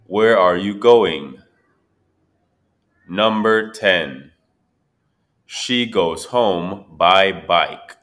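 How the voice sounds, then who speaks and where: A man slowly reading English listening test items, repeating each word and sentence deliberately. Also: low voice, fairly bright tone. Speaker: test reader. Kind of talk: slow scripted exam dictation.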